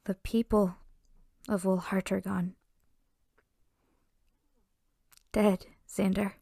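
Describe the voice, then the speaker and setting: A woman playing a somber young village woman haunted by a massacre, in an earnest, halting audio drama delivery. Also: mid-range voice, flat delivery, vocal fry, mellow, slightly rough, dry recording, studio quality. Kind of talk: dramatic audio roleplay acting. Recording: music bed under the voice.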